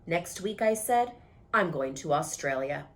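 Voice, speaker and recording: A woman reading a children's story aloud in an animated, expressive way, drawing out words for emphasis. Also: deep voice, measured pace. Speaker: teacher reading a picture book aloud.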